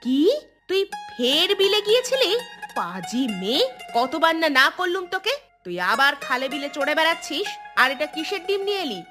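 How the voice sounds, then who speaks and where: A woman's voice: sits high, animated, quick pace, over music. An actress playing a stern village mother in an audio drama, nagging with a sarcastic edge. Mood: angry, scolding. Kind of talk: acted audio story dialogue.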